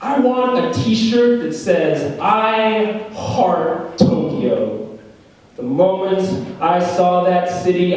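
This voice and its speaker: Young man performing slam poetry, very echoey. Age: young adult. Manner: dramatic, swinging from loud shouts to low hushed lines. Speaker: slam poet. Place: school room. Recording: very echoey room, voice from far off.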